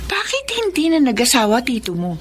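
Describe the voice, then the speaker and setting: A woman's voice: high voice, huge pitch swings, fairly quick, a little hiss or hum. An actress playing a chatty, bantering young city woman, in Filipino radio drama style with a Filipino accent.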